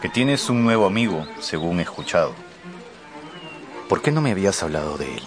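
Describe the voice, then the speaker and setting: An actor playing a protective, suspicious young sailor brother in a radio drama, gruff and reproachful. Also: male voice, low voice, big pitch swings, a little fast. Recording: music behind the voice.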